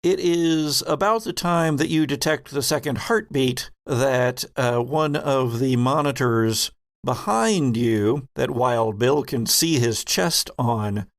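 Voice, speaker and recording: A man hosting and narrating a tabletop game as game master, dramatic and deliberate, with suspenseful pauses. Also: mid-range voice, no echo, studio quality.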